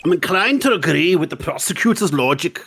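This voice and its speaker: A man's voice: a little high, expressive, brisk, a bit bright, a little hiss or hum. A man playing a flustered, easily baffled old judge in a live dub of a courtroom game. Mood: stern.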